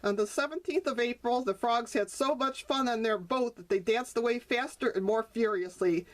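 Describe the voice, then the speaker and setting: A woman's voice: on the high side, moderate pace. A woman reading her own picture book aloud as a storyteller, now and then stumbling and correcting herself for young listeners. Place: indoors at a table over the open book.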